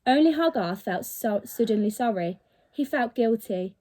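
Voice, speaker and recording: A woman with a fairly high-pitched voice reads a children's storybook. Her delivery is expressive and emphatic, with the dramatic shaping of a teacher telling a story to young pupils. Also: moderate pace.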